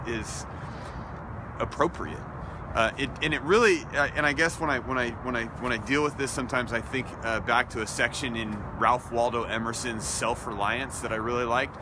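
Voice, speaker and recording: Man, mid-pitched, thoughtful voice-memo delivery, musing and reading aloud. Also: noisy background. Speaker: speaker recording a reflective voice memo. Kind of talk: casual reflection, then reading an essay aloud.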